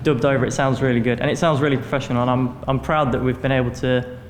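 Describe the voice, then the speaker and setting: A man's voice: mid-range voice, little pitch movement, fast talker, faint music behind. A young man talking casually in an interview as a student performer.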